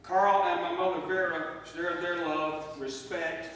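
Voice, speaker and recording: A man in middle age reads a eulogy slowly and deliberately, in a slightly high voice. His words come from far off in a very reverberant church hall. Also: flat delivery, fairly bright tone. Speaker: eulogist, son of the deceased. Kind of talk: read memorial eulogy. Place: church sanctuary. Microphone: distant microphone in a large room. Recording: distant mic, echoey hall, faint noise.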